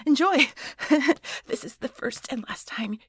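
A woman playing a defiant, domineering female boss in an adult audio drama, with breathy delivery that often drops to a hush. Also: sits high, animated, takes it slowly, no room sound, exaggerated voice. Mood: breathless, taunting. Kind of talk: erotic audio roleplay acting.

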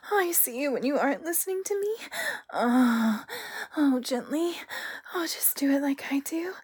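A breathy, high-voiced temptress of a spirit, acted out by a woman in an intimate adult audio roleplay. She speaks slowly and teasingly, often slipping into a whisper, with sultry, playful hesitations. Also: a little mellow, exaggerated voice. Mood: coaxing, playful.

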